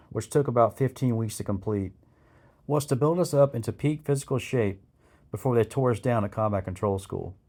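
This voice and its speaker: A man's voice: on the low side, a little mellow. A man reading a scripted first-person memoir of military training, in the style of an audiobook. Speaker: memoir author narrating his own military training.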